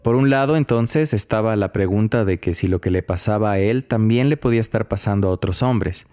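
Low-voiced male podcast narrator. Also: brisk, darkish tone, smooth, faint music behind. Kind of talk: scripted documentary narration.

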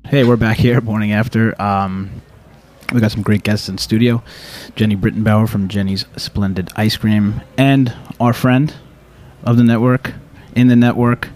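A man hosting a radio show in a studio, chatting casually in a halting, rambling way. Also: on the low side, darkish tone. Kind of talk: casual talk show chat.

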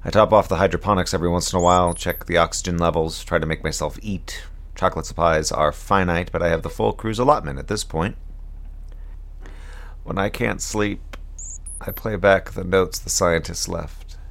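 A man's voice: sits low, moderate pace, vocal fry, fairly bright tone. A man playing a weary, bookish moonbase crewman who mutters wryly to himself in an audio drama log. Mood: weary, reflective. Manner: muttering to himself, wry and brooding. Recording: background noise under the voice.

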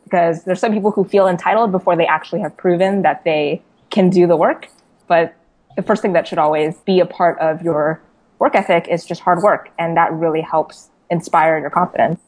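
A woman's voice: fairly low pitch, fairly even intonation, moderate pace, darkish tone, rough recording. A woman from California, a journalist guest in an interview, answering thoughtfully. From California, USA. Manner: thoughtful, some "uh" and restarts.

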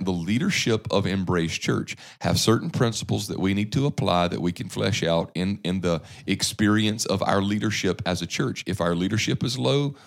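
A man's voice: deep voice, creaky. A man, hosting a church podcast and making earnest, preachy points that often restart mid-sentence. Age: 30s. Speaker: podcast host.

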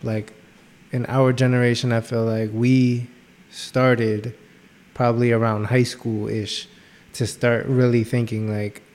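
A man's voice: mid-range voice, little pitch movement, takes it slowly, a little mellow. A young man co-hosting a podcast, rambling and searching for words with many fillers. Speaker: podcast co-host. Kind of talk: casual podcast chat.

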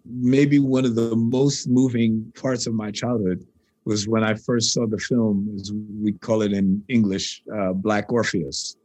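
Husky-voiced man telling stories in a dry room. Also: on the low side, a little slow, no room sound, poor audio. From New York. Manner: animated, rambling storyteller with many restarts. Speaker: guitarist and composer. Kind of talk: casual interview conversation.

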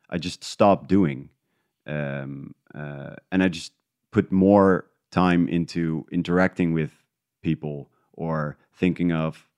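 A man talking casually and reflectively as an interview guest, feeling his way through thoughts with halting restarts. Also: low-pitched, a little mellow, smooth, no echo, clean studio sound. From Netherlands. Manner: halting, thinking aloud in restarts. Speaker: interview guest, independent podcast creator.

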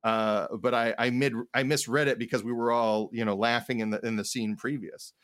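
A man talks in a casual, rambling way, working out his thoughts as he goes and often circling back mid-phrase. His pitch is average for a man, and the sound is dry with very little room to it. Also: fairly even intonation, fairly bright tone, no echo. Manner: halting, rambling, thinking aloud. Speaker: podcast co-host and game master. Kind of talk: casual advice chat.

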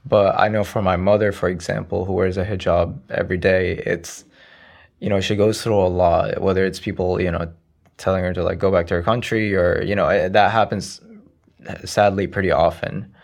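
Soft-spoken young man with a gravelly low voice. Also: fairly even intonation, moderate pace. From Iraq. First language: Arabic. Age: around 20. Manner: soft-spoken, hesitant, frequent "you know" fillers. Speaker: interview guest, young poet. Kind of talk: casual conversational interview.

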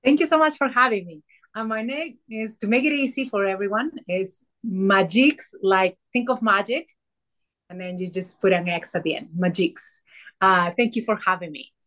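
A woman with a Peruvian Spanish accent speaks as a podcast guest. She tells her story at an easy, unhurried pace, with frequent fillers and small restarts. Her pitch sits in a normal middle range. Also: fairly bright tone. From Peru. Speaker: guest, soul alignment mentor. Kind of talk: casual podcast conversation.

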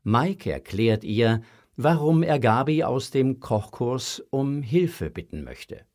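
A man narrating a scripted German children's audio story with a slightly husky voice. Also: mid-range voice, sing-song, takes it slowly, darkish tone.

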